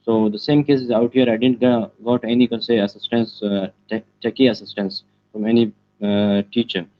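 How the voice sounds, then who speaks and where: A teenage boy from Pakistan whose first language is Pashto answers interview questions over a call. His voice sits low and flat, and he stops often for fillers and restarts. The call audio is muffled and lo-fi. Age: teens. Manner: halting, many fillers and restarts. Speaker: guest, student web developer.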